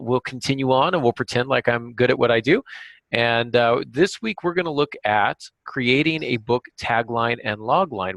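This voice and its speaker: A man with a medium-pitched voice hosts a podcast. His delivery is informal and conversational, with a self-deprecating streak. The sound is close and dry, with hardly any room in it. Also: vocal fry, fairly bright tone, no room sound, rough recording. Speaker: podcast host. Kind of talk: casual podcast hosting.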